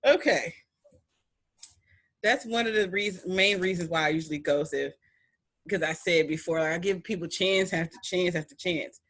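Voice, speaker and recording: A young woman with a deep voice hosting a podcast, chatting in an animated, emphatic way with playful repetition. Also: moderate pace, pauses often. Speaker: podcast host. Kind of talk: casual chat.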